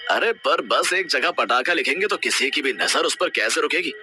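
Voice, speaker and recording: Man playing a very bright, good-natured ghost. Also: fairly high pitch, moderate pace, soft background music. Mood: doubtful. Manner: animated, exaggerated storybook dialogue. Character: good-natured, slightly forgetful male ghost posing as shopkeeper.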